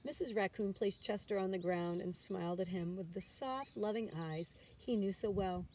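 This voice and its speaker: Mellow woman reading a children's storybook. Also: mid-range voice, normal speed. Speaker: teacher reading a storybook aloud. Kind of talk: expressive children's storybook narration. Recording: distant mic with background noise.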